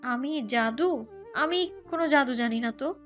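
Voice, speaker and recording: Woman playing a high-voiced shy young bride. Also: measured pace, soft background music. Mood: shy, hesitant. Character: shy, flustered young newlywed bride, gently defiant. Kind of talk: romantic audio story acting.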